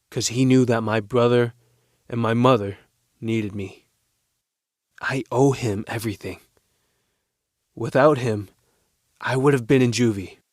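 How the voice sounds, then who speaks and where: A man's voice: mid-range voice, no echo, clean studio sound, close to an everyday voice. A man playing a loyal, defensive working-class teenage boy in an audio drama, speaking earnestly and firmly. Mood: guarded, regretful.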